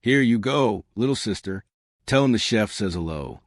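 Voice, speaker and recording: An encouraging older brother who works as a chef, read by a male narrator. His voice sits fairly low but moves with a lively lilt. It sounds dry and close, with no room around it. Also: moderate pace, no echo.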